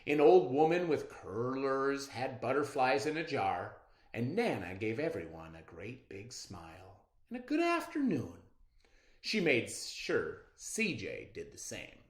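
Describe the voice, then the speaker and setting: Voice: mid-range voice, very animated, measured pace. A storyteller reading a children's picture book aloud expressively, in a roomy, echoing recording.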